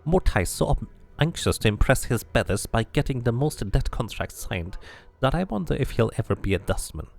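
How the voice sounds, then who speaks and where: A man's voice: mid-range voice, big pitch swings, brisk, gravelly. A man voicing a cranky, scornful old woman who gossips and mutters insults in a fantasy game.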